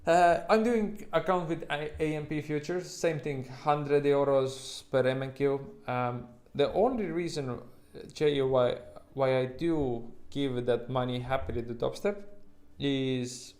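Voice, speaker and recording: Halting Estonian-accented man, livestream host. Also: mid-range voice, a little mellow. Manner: halting, rambling, informal, occasional swearing. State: sleep-deprived. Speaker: livestream host, futures trader. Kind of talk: casual livestream chat with viewers.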